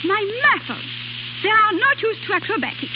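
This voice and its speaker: French cabaret singer girl, romantic and dramatic, high voice, muffled noisy old 1930s radio. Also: sing-song, moderate pace. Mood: playful. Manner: theatrical, foreign-tinged phrasing. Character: passionate, dramatic young French nightclub singer in love.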